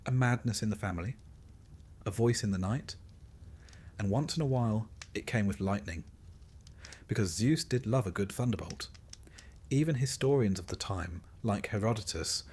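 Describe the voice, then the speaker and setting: A man calmly narrating a scripted bedtime story, phrasing it deliberately in short, measured pieces. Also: on the low side, slightly rough.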